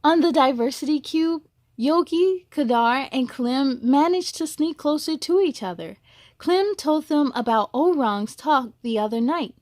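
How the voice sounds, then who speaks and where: A woman reads a children's story aloud in a high, unhurried voice. She is expressive and encouraging, as a storytime host for young listeners, and the sound is close and dry. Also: dry recording. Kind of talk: expressive read-aloud with chatty asides.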